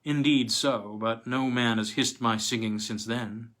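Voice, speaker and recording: A man's voice: mid-range voice, steady tone, unhurried, fairly bright tone, natural voice. A man voicing a coy, seductive and faintly sinister noblewoman in a storybook reading, purring and sighing. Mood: wounded, sighing.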